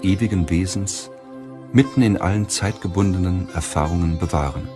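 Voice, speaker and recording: A man narrating an audiobook in a measured, solemn way, reading from a script. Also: low voice, over music.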